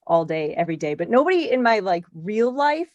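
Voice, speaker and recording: A woman in her forties from Connecticut, chatting as a podcast guest in a lively, talkative way with frequent laughs and filler words. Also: medium pitch, a little fast, dry recording, dull audio. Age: 40s. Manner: chatty, animated, laughs often, lots of "like". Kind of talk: casual interview chat.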